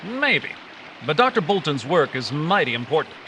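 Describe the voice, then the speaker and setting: A man playing an earnest, authoritative hero of an old-time radio adventure, explaining things and giving brisk orders. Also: fairly high pitch, very animated, bright, forceful tone. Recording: live stage recording with background noise.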